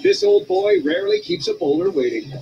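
A man narrating a televised documentary segment in a measured, smooth delivery with sweeping rises in tone. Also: very high voice, huge pitch swings, warm tone, dull audio. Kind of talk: scripted narration. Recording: music playing under the voice.

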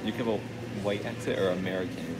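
A chatty young man with a fairly low voice, gushing and laughing through a live eating stream. He talks close to a phone camera. Loud restaurant noise fills the background. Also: fast. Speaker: vlogger. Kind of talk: casual live-stream chat while eating. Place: indoor restaurant with high ceiling.

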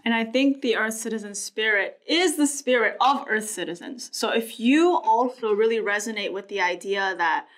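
Woman podcast host, 30s, from Korea, quick animated talk, fairly high voice, desk mic in a small studio. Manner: quick, animated, lots of "like" and "you know". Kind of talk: casual podcast chat. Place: small bright studio room, indoors. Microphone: desk microphone.

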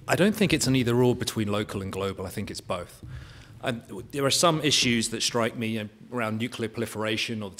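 A man from England speaking as an academic panelist, deliberate and wry, in a lecture room. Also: fairly low pitch, a bit bright. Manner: deliberate, wry, builds lists.

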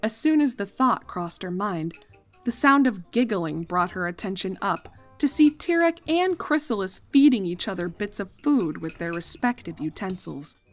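A woman reading a fan fiction story aloud as an expressive narrator. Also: medium pitch, moderate pace, faint music behind.

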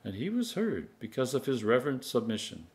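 A man in his sixties, an Anglican priest, reading scripture aloud in a measured, solemn, emphatic manner. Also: medium pitch, sing-song, darkish tone. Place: home kitchen, indoors.

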